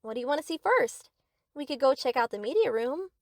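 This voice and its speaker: A woman's voice: high voice, sing-song, fairly quick, no echo, clean studio sound. A woman playing a young yandere best friend in a scripted roleplay, doting on the listener with cheerful, possessive obsession. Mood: gushing, proud.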